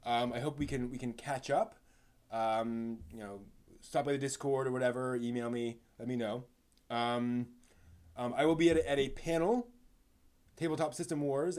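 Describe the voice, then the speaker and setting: Halting, casual male live stream host. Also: mid-range voice, a little mellow. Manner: halting, rambling, stumbles over words. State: tired. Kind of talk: casual live stream chat, answering chat questions.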